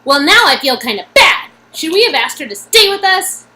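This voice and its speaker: A woman's voice: high-pitched, very animated, moderate pace, sharp tone. A woman co-hosting a story game read-through, chatting casually and playfully. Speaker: co-host.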